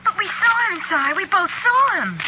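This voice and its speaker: An actress playing an eager, trusting young waitress in an old-time radio suspense drama. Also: female voice, very high-pitched, huge pitch swings, normal speed, dull audio, very noisy, full character voice. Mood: startled, insistent. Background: loud music.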